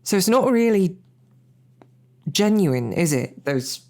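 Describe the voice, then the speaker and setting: A woman playing a bashful, smitten knight and royal guard in an intimate audio roleplay, speaking haltingly with flustered, self-deprecating rambling. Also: lowish voice, big pitch swings, mellow, heavily acted. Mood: flustered, earnest.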